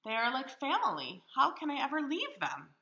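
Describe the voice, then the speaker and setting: A woman voicing a friendly, grateful male hermit crab from a picture book, with earnest, drawn-out delivery. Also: high voice, huge pitch swings, sharp tone, voice pushed up, heavily acted. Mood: wistful. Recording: echoey room in a house.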